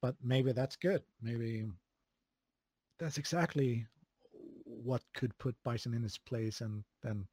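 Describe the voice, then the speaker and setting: A man from Sweden with a Swedish accent, chatting casually as an interview guest, relaxed, with many fillers and chuckles. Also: mid-range voice, takes it slowly, breathy edge, dry recording. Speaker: video game composer, guest.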